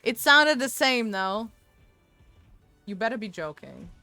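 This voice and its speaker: Woman streamer, rambling chat with viewers, crisp voice, fairly high. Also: sing-song, slow pace, sharp tone, dry recording, faint music behind. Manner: chatty, rambling, answers chat aloud. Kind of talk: casual gaming stream chat. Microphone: desk microphone.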